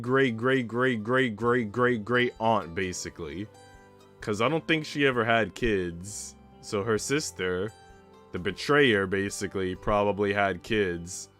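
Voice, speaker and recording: A man's voice: fairly low pitch, a bit bright. A man streaming a visual novel, mixing slangy, casual reactions with reading the narration aloud. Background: game music.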